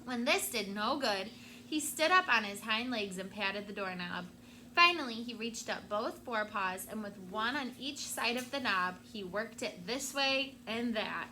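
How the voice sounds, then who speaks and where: A fairly high-voiced woman teacher reads a storybook aloud to her class, expressive and lively in the telling, pausing to explain words along the way. The recording carries steady classroom noise behind her. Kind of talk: reading a picture book aloud to a class.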